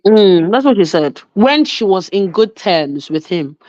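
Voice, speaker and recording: A woman's voice: medium pitch, unhurried, dry recording. A woman from Nigeria with a Nigerian accent, telling stories emphatically in a casual online chat. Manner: animated, emphatic storytelling with frequent restarts. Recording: dull lo-fi audio.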